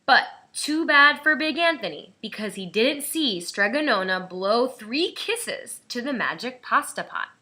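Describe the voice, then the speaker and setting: A woman's voice: fairly high pitch, lively intonation, a little slow, few pauses, pushed and bright. A woman reading a children's storybook aloud with careful expression. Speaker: storybook reader.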